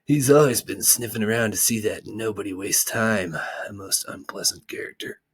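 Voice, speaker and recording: Lazy, drowsy storybook idlers who lounge about and whine, as a man voices them. A low, husky voice lifted a little, recorded dry and near. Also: moderate pace, no echo. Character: lazy, sleepy townsfolk who do nothing. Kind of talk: amateur storybook reading.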